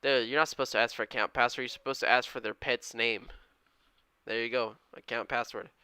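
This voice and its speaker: Bright, crisp-voiced young streamer guy. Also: mid-range voice, fairly even intonation, fairly quick, no echo. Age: 20s. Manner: upbeat and friendly, slangy, thanks viewers often. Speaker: live-stream day trader. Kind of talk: casual live-stream chat, reading viewer comments.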